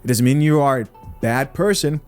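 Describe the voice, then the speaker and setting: A man's voice: mid-range voice, animated, moderate pace, a little mellow, smooth, faint music behind. A young man from Zambia hosting a podcast, giving blunt, opinionated commentary straight to his viewers. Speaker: podcast host reacting to clips.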